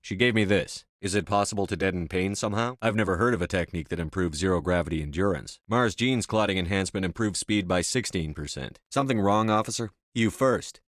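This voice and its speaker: Man playing a deep-voiced, terse military space commander. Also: fairly bright tone, no echo, studio quality, lightly acted. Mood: firm, challenging. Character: stern male military space commander, terse, authoritative, dryly defiant. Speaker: video game protagonist. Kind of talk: video game voice acting.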